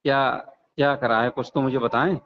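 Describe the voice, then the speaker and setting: A man's voice: steady tone, normal speed. A man arguing in a phone conversation, emphatic and repetitive, often breaking in with agreement as he defends his side. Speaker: debater defending a religious organisation. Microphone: phone call. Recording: muffled low-fi phone call recording.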